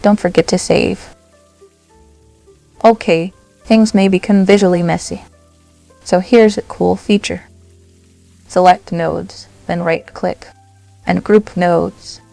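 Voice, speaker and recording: A woman presents a software tutorial in short, simple steps. Her voice sits on the low side with a noticeable creak. It is recorded dry and close, over soft background music. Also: steady tone, slow, pauses often, no room sound. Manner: short, simple instructional phrases. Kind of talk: step-by-step software tutorial narration.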